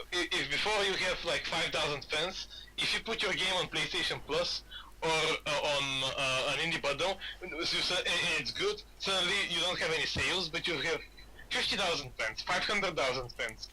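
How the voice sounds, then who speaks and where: A man's voice: on the high side, normal speed, noisy background. A man from Bulgaria chatting casually on a gaming podcast, rambling and often repeating his words. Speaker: podcast host.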